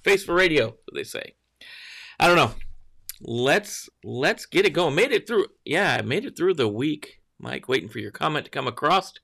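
Gravelly-voiced man hosting a morning stream. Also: a little high, very animated, moderate pace, sharp tone. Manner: rambling, greets viewers by name, self-correcting. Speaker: livestream host. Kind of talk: casual morning livestream chat.

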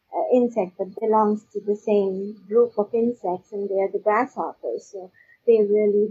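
Woman from pakistan, husky, stop-start interview chat, repeats words, kinda dull lo-fi sound. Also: mid-range voice, a little mellow. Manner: halting, repeats words and trails off. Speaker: guest, agricultural research scientist. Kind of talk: conversational interview answers. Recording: dull, lo-fi audio.

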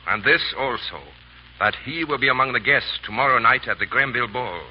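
A man acting a smooth, coldly courteous and menacing French ambassador in a vintage radio drama. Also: medium pitch, close to an everyday voice. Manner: suave, measured, coldly courteous. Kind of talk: 1930s-40s radio acting. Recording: old muffled radio broadcast with hiss.

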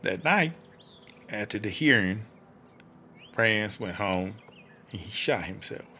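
A man's voice: lowish voice, lively intonation, slow, sharp tone, slight background noise, exaggerated voice. A man playing a tipsy, streetwise woman prisoner in an audio drama, telling stories in a slangy drawl. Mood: somber, hurt. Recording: music playing behind the voice. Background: music.

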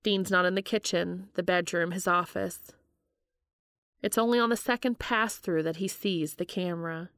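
Low-voiced woman narrating, very dry sound. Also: fairly even intonation, moderate pace, fairly bright tone, smooth, dry recording. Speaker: fan fiction audiobook reader. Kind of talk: scripted story narration.

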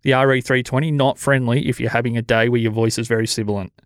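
An Australian man hosting a podcast in relaxed, low-energy casual chat, with a sibilant voice on a studio mic. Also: fast, no room sound. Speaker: podcast host. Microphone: studio dynamic mic.

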